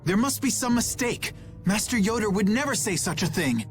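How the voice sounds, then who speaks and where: A man voicing an earnest, dutiful young knight in an anime video game, speaking formally and politely with a slightly husky voice. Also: high voice, expressive, normal speed. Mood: shocked, pleading. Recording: background music behind the voice.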